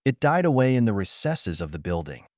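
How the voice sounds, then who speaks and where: A man with a fairly low voice reads a story as an audiobook narrator. His scripted delivery is dramatic, with wide-ranging intonation, and the recording is dry and close. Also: big pitch swings, fairly quick, a little mellow, no echo.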